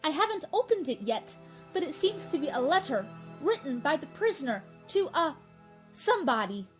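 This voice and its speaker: A woman plays an officious little court herald in a radio play. The voice is high and very animated, formal and deferential, over soft music. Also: moderate pace. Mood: eager, officious.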